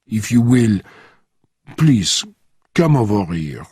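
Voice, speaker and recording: A man playing a huge, calm, menacing crime boss with formal, measured phrasing in a thriller audiobook. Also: breathy edge, dry recording, clean studio sound, exaggerated voice. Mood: businesslike. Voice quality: low voice.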